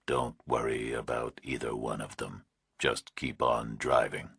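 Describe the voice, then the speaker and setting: A menacing American kidnapper on the phone, as a male narrator puts him on, speaking in an extremely deep, gravelly whisper. He is patient and in command, stretching out every word with cold calm in a thriller audiobook. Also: no echo, studio quality, full character voice. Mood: calm menace. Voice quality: slow, deep, gritty, whispery.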